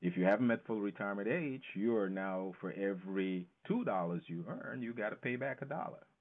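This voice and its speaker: A man's voice: fairly low pitch, animated, brisk. A man explaining things as an interview guest, emphatic and fond of posing questions that he then answers himself. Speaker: financial educator, interview guest. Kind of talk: explanatory radio interview talk. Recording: narrow, tinny phone-line sound.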